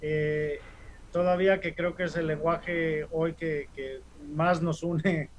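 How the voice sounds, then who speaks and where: A man's voice: fairly high pitch, slow pace, a little hiss or hum. Man hosting a webinar. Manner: relaxed, many "eh" fillers. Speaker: webinar host.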